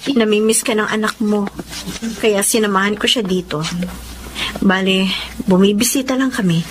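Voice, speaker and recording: A woman's voice: medium pitch, steady tone, normal speed, a little hiss or hum, close to an everyday voice. A woman with a Filipino accent playing a devoted, caring young mother in a radio drama. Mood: sad, resigned. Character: devoted young mother, resigned yet caring estranged wife. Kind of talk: Tagalog radio drama acting. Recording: music behind the voice.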